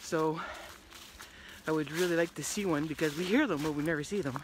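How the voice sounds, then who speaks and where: A middle-aged woman talking casually to her phone while walking a wooded trail, rambling with many restarts and often trailing off into a quiet murmur. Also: sits low, fairly quick, noisy background. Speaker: hiking vlogger. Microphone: phone held at arm's length.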